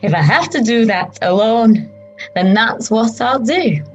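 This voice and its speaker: A woman acting a grieving yet resolute disciple in a dramatised ancient religious text, speaking in a hushed, deliberate way with long pauses. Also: on the high side, animated, husky, highs cut off, faint music behind. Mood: quiet resolve.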